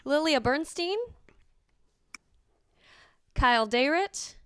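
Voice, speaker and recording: A woman's voice: high-pitched, animated, takes it slowly, a bit bright, no echo, studio quality. A woman hosting a show, reading out an outro and introducing the cast.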